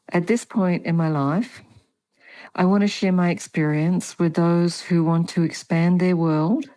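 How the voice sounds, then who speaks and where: A middle-aged woman with an Australian accent answers podcast questions in a measured, earnest way. Her voice sits low and sounds mellow and warm. She speaks with quiet sincerity and lets small laughs slip in. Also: low-quality recording. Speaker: guest. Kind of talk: podcast interview.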